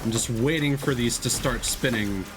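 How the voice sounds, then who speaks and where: A man's voice: mid-range voice, normal speed. A man giving casual commentary while live streaming a video game. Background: game music.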